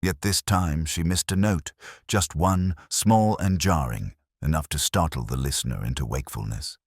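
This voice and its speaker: A middle-aged man reading a first-person detective story in a measured, brooding way, as scripted audiobook narration. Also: extremely low pitch, husky, no room sound, studio quality.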